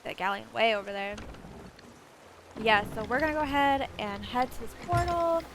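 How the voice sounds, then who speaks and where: A woman's voice: fairly high pitch, expressive, normal speed. A woman hosting a casual game walkthrough, chatting informally and addressing viewers directly. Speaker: gameplay video host. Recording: poor home mic, faint noise.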